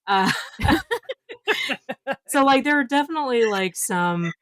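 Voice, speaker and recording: A woman's voice: a little high, lively intonation, a little slow, fairly bright tone, no room sound. A woman podcast host chatting casually in an informal, candid, sweary way. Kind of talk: casual chat.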